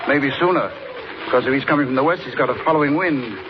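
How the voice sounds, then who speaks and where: Actor as gruff, worried airfield chief, vintage radio. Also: male voice, mid-range voice, fast talker, close to an everyday voice. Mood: concerned. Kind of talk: 1940s radio acting. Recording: muffled, noisy vintage radio recording.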